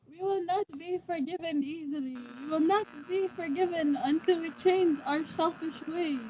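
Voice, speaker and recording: A woman's voice: very high-pitched, moderate pace. A woman playing a stern villager who warns of angry spirits in a declamatory, scolding way, in a dramatic folktale. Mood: grave. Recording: muffled lo-fi, background noise.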